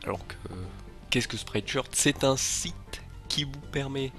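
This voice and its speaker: A man's voice: medium pitch, unhurried, pushed and bright, faint music behind. A man with a head cold talking casually to his channel's viewers, rambling and hesitant, with frequent filler sounds and restarts. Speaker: channel host. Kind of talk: casual video announcement to viewers.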